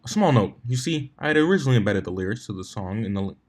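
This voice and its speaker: A man's voice: on the low side, animated, very fast, darkish tone. A man narrating a scripted fan fiction story as its reader.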